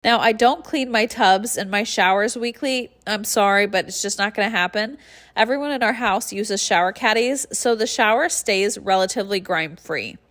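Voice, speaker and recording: A woman's voice: medium pitch, normal speed, a bit bright. A congested young woman with a nasal, stuffy voice, chatting casually through a cleaning tutorial voice-over and breathing heavily. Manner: friendly and chatty, with light laughs and heavy breathing. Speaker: cleaning channel host.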